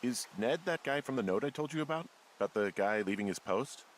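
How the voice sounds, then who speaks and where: An actor voicing an easygoing American fire lookout in a video game, conversational, joking and deadpan. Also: male voice, medium pitch, a little fast, a little hiss or hum, lightly acted. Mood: curious, wry. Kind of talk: naturalistic video game dialogue. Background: faint background music.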